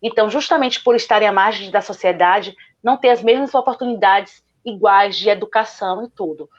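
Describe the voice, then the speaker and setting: A woman's voice: medium pitch, brisk. Earnest Bahian woman on a muffled call. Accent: Bahian Portuguese. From Salvador, Bahia, Brazil. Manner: earnest, argumentative, repeats "justamente". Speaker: guest speaker, lawyer. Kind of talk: online panel Q&A answer.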